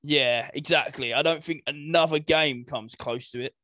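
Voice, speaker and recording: Man, somewhat high-pitched, casual conversation, podcast co-host, no room echo. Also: moderate pace, sharp tone, clean studio sound. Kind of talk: casual chat.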